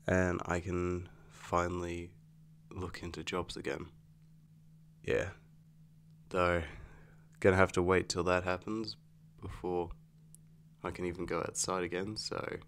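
A weary, wry werewolf boyfriend acted by a man in an ASMR roleplay. His voice sits very low and he takes his time, halting and casual as he goes. A noticeable hum runs under the recording. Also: fairly bright tone, full character voice. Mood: resigned, wry. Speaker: roleplay voice actor. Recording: clearly audible background hum.

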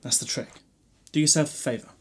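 A man's voice: fairly high pitch, steady tone, fast, slightly rough. A man playing a cheerful, eccentric amnesiac time traveller in a fan-made audio drama. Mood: breezy. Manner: breezy, whimsical.

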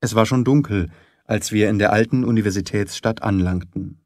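A man reading literary narration for an audiobook in a measured, articulate manner. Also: lowish voice, a little mellow, no room sound, clean studio sound.

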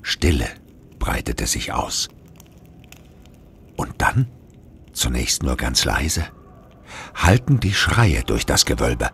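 Grave horror storyteller, exceptionally low voice, solemn suspenseful scripted narration. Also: male voice, big pitch swings, slow, creaky, long pauses, a bit bright, a little hiss or hum, exaggerated voice. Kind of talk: scripted narration of a German horror audio drama.

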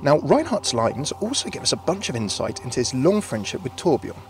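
A man's voice: medium pitch, very animated, a little fast, soft background music. A man hosting a video with scripted narration, recorded as a close voice-over. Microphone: close dry voice-over microphone.

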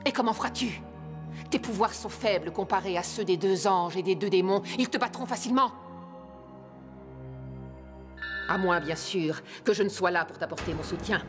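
A woman playing a vengeful, imperious dark queen in a French cartoon dub, speaking in a haughty, commanding, theatrical way. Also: medium pitch, brisk, music in the background, natural voice. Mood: cold, scheming.

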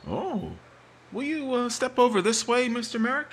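A man's voice: very high-pitched, lively intonation, normal speed, fairly bright tone, a little hiss or hum. An actor playing a patient small-town sheriff in a 1950s-style radio mystery, drawling and hesitating with "uh" and "mm" as he questions a witness. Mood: probing. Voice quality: husky, drawling with "uh" and "mm".

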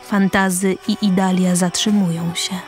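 A woman narrating a radio drama, reading stage directions in short measured phrases. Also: fairly low pitch, flat delivery. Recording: music behind the voice.

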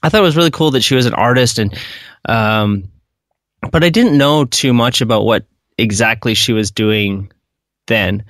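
A man's voice: mid-range voice, normal speed, fairly bright tone, no echo, studio quality. A middle-aged man hosting a podcast, chatty and enthusiastic, rambling as he sets up his questions. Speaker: podcast host. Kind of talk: casual interview.

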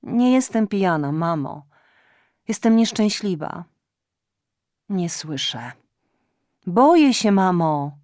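A woman's voice: on the low side, expressive, slow pace, pauses often, darkish tone, no room sound, clean studio sound. Woman as a desperate woman on the phone. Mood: pleading. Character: desperate unhappy woman in her forties.

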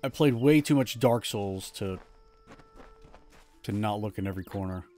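Low-voiced chatty streamer. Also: male voice, normal speed, mellow. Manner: chatty, self-mocking, restarts often. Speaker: game streamer. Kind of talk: casual live gameplay commentary.

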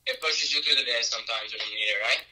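A man's voice: medium pitch, quick pace, sharp tone. A young man guest speaking over a video call, chatting casually with laid-back, brief replies. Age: young adult. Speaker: podcast guest. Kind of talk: casual chat. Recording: distant video call audio.

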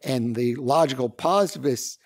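An older man with a low voice giving a university lecture at a lectern, with dry humor and many "uh" hesitations. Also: moderate pace, darkish tone, breathy edge. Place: lecture room with audience. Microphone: lectern microphone.

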